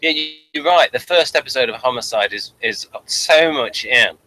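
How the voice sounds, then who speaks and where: A man hosting a casual review chat, talking in a halting way and repeating words and phrases. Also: mid-range voice, pushed and bright, low-quality recording. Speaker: host of a TV review show. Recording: faint background noise, room ambience.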